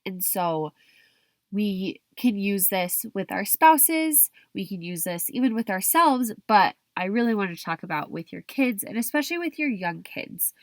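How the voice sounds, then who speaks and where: A young woman hosting her own podcast while stuffy and hoarse from a cold, talking in a chatty way with frequent restarts. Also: medium pitch, very animated, a little slow, no room sound. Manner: chatty, restarts and hedges with kind of. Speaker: podcast host. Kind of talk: solo podcast talk.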